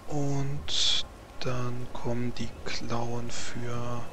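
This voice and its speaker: A man running a tabletop role-playing game as game master, narrating combat and ruling, with halting pauses. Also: mid-range voice, flat delivery, noisy background. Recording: music behind the voice. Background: background music.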